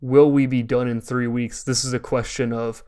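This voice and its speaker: A young man with an American accent talks casually on a podcast. His voice is clean and resonant at a middling pitch. He tells things in a loose, rambling, conversational way. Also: flat delivery, moderate pace, warm tone, clear, resonant tone. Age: young adult. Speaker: podcast co-host.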